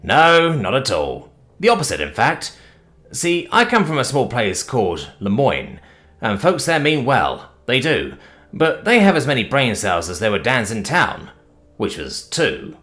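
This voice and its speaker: A folksy country fellow with a hearty, drawling manner, as a narrator puts him on. His voice swings widely up and down and sits in a middle pitch. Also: huge pitch swings, unhurried, fairly bright tone. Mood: amused. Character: folksy friendly rural man, easygoing.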